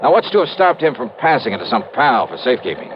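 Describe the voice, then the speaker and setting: An American actor playing a confident, wry insurance investigator in 1950s radio style, clipped and questioning. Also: male voice, mid-range voice, sing-song, quick pace, noisy background, natural voice. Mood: skeptical, needling. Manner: clipped, hard-boiled, questioning. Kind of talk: 1950s radio detective acting and narration. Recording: dull old radio recording with music.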